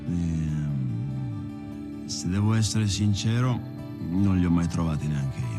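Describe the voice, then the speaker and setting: Deep, deadpan old-time robber. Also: male voice, slow pace. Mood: wry, deadpan. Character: male bank robber, sly, deadpan, wry. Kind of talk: dramatised film monologue. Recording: loud music over the voice.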